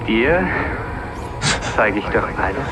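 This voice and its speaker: A man's voice: on the low side, animated, takes it slowly, heavy background noise. An actor playing a sadistic male villain in a video game, coldly menacing and intimate in manner. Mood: tender menace. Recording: loud music under voice.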